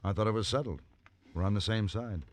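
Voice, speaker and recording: An actor playing a calm, principled gunfighter for hire in an old radio western, speaking in a measured, dry, quietly menacing way. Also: male voice, on the low side, natural voice. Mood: cool, businesslike.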